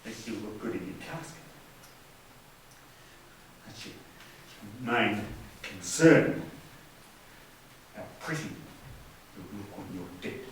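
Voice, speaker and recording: Distant noisy recording, actor as unhinged vengeful man, stammering rants, stage drama, wildly swinging pitch. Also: expressive, takes it slowly, long pauses. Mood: menacing. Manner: ranting, stammering, gloating, swinging from hiss to shout. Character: vengeful, unhinged man threatening his brother with a gun. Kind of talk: amateur one-act stage play acting. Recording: echoey room from far off, noisy.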